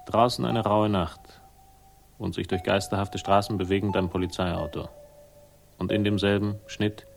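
An actor playing a haunted, weary man who narrates his own crime story in slow, brooding, clipped phrases for a radio drama. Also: on the low side, little pitch movement, gravelly. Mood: bleak, brooding. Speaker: first-person narrator. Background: music.

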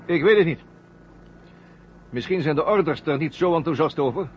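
An actor playing a cautious, duty-minded astronaut co-pilot, practical and wary, in an old radio drama. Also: male voice, fairly high pitch, normal speed, close to an everyday voice. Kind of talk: 1970 Dutch radio drama acting. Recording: dull, faintly noisy old radio recording.

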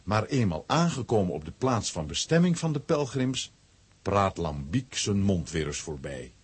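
A man narrating a children's adventure audio play as an expressive storyteller, with a rough, husky voice. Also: low voice, normal speed, full character voice. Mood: storytelling.

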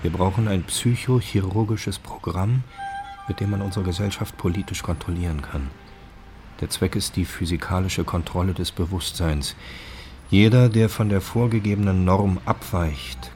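A man reading official documents and quotations in a formal, deliberate, scripted documentary style. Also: deep voice, darkish tone, faint music behind.

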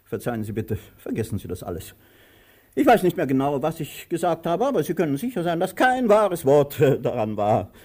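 Pompous, volatile older master, wildly swooping. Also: male voice, fairly high pitch, wildly swooping pitch, brisk, a little mellow. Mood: flustered. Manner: pompous, theatrical declaiming, swinging between grandeur and whining. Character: pompous, volatile, cruel master, about sixty, self-pitying and theatrical. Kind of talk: radio drama stage acting.

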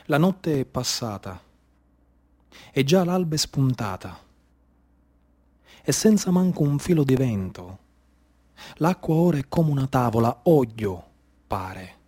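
A man with a Sicilian accent performing a dramatic storytelling monologue in a declamatory, incantatory way with deliberate, repeated phrasing. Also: medium pitch, sing-song, warm tone. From Sicily, Italy. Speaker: stage storyteller, actor.

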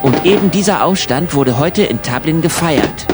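A man narrates an audio play from a script. Music plays clearly behind his voice. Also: a little high, animated, moderate pace.

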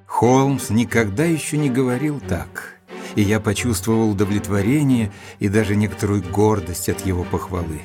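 Lowish male companion-narrator played by an actor: earnest, measured, literary audio drama, faint music behind. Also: natural voice. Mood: proud, reflective. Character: earnest, admiring gentleman doctor, detective's companion and narrator.

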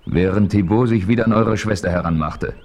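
A calm, shrewd frontier hero in a vintage radio play. His voice sits very low. He questions steadily and explains with quiet assurance. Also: brisk. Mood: composed. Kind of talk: 1970s radio play acting.

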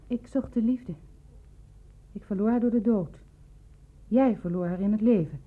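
Actress as a lonely bereaved woman, cool n pointed, clipped lines, husky, radio play, tinny narrow-band recording. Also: mid-range voice, normal speed, lightly acted. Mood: sorrowful, quiet. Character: lonely, bereaved woman, coolly probing and insinuating. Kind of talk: radio drama acting.

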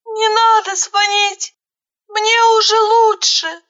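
Woman acting a high, trembling, pleading injured girl. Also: little pitch movement, takes it slowly, fairly bright tone, dull audio, soft background music, heavily acted.

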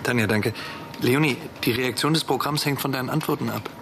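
A man playing an eager, conciliatory software developer in a radio drama. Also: medium pitch, fairly quick, over music, close to an everyday voice.

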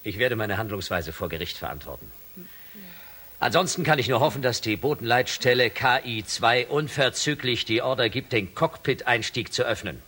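An actor playing a stern space shuttle commander in a science fiction radio play, with an authoritative, dramatic manner and a rough edge to his voice. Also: medium pitch, animated, moderate pace, bright, forceful tone. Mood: resigned.

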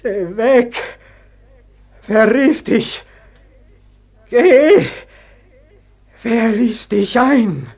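A man's voice: very high voice, very slow, pauses often, a little mellow, exaggerated voice. An actor playing an anguished, brooding man facing Death, declaiming verse in a theatrical, plaintive way in a classic German radio drama. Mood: terrified.

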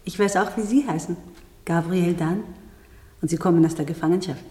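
An actress playing a young variety dancer in a radio play, guarded and dreamy, speaking in a soft, confiding way. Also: female voice, on the low side, a little fast, lightly acted. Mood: curious, teasing. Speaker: radio drama actor. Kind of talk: intimate German radio play dialogue. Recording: reverberant room with long echo.

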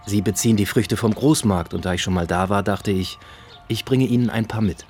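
Radio drama inspector: composed, politely probing, dry delivery, on the low side. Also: male voice, brisk, a little mellow, breathy edge, soft background music. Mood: casual, sly.

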